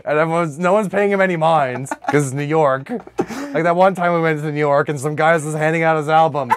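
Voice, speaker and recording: A man's voice: a little high, a little fast, noisy background. A man co-hosting a comedy podcast with casual, joking, crude banter and storytelling. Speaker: podcast co-host.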